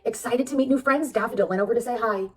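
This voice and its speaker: A woman reading a children's storybook aloud as narrator, expressive and addressing young listeners. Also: on the high side, fast talker, far from the mic. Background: background music.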